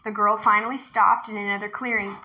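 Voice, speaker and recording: A woman narrating a children's novel aloud in an expressive storytelling style. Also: medium pitch, brisk, muffled recording.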